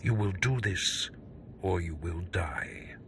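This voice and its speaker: An actor playing a stern, scheming Sith lord in a video game, speaking in a measured, imperious, menacing manner. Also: male voice, deep voice, expressive, vocal fry, a little hiss or hum, full character voice. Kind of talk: scripted video game drama.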